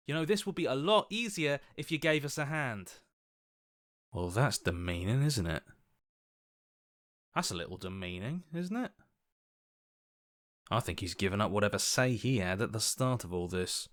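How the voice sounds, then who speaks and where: An earnest hero speaking as an anxious inner conscience in a video game, played by a man. His mid-pitched voice is conversational, hesitant and wry. It is recorded very dry. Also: animated, moderate pace, long pauses, a bit bright, no echo, lightly acted. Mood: wry, resolute. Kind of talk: dramatic video game voice acting.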